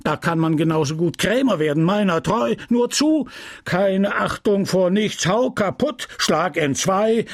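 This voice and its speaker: A man ranting as a shrill, furious pompous pharmacist. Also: animated, unhurried, no room sound, clean studio sound, exaggerated voice. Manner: ranting, shrill, breathless tirade. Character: pompous middle-aged male pharmacist in a rage.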